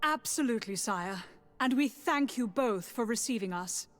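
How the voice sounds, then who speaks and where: A humble female emissary from a video game, played by a woman, formal and deferential. Her fairly high voice carries hall reverb, with music underneath. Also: expressive, normal speed, close to an everyday voice. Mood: pleading, respectful.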